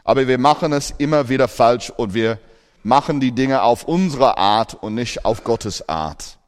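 A man's voice: mid-range voice, unhurried, big-room sound. A man born in Australia preaching a sermon in German, earnest, with grammar slips.

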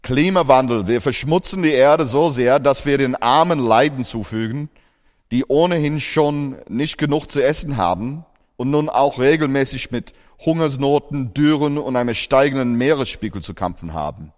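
A man's voice: medium pitch, moderate pace. Man, born in Australia, preacher, earnest sermon, German, echoing church hall. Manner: earnest, speaks German with non-native grammar slips.

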